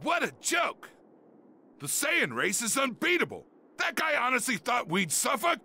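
A man voicing a brash, arrogant alien warrior in an anime game, raspy, cocky and forceful. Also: extremely high pitch, very animated, unhurried, full character voice. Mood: scornful, cocky. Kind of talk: anime game dramatic acting.

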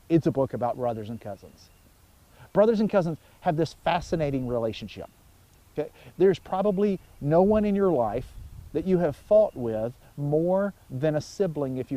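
A man in his forties, a pastor giving an informal, conversational sermon outdoors, telling stories with emphatic pauses. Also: on the high side, dark tone, dry recording. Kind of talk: informal sermon, explaining scripture. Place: outdoors by a wooded pond.

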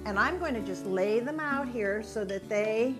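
A woman's voice: on the high side, moderate pace, music in the background. A woman in her sixties, a chef, demonstrating a breakfast recipe step by step in a home kitchen on a lapel mic. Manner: instructive, steady walkthrough of steps. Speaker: cooking segment chef. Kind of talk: cooking demonstration.

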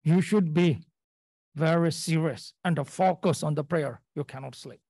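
A man's voice: a little high, normal speed, creaky, dark tone, no echo, clean studio sound. A man from Nepal quoting a stern old male monk master in a story during a dharma class. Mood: scolding.

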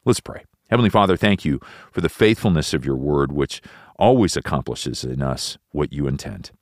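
A man announcing a radio Bible program, reading an address and a short prayer in a measured, reverent way. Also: extremely low pitch, expressive, no room sound, clean studio sound.

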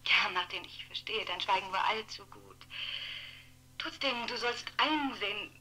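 An actress playing a wistful, rambling former lover on the telephone in a 1960s radio play. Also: female voice, mid-range voice, measured pace, poor audio. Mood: wistful, apologetic. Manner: rambling, digressive, expressive. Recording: humming old radio play, telephone sound.

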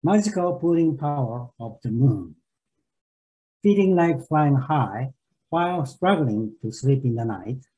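An older man reciting poetry in a deliberate, measured way over a video call. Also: medium pitch, expressive, mellow, low-quality recording. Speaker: poet reading own poem.

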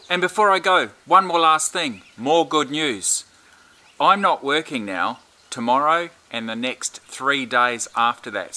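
A man's voice: mid-range voice, pushed and bright, slight background noise. An Australian man in his fifties talking casually as a vlogger, outdoors in a backyard at about arm's length from the camera. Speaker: aviation vlogger. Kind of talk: casual vlog chat.

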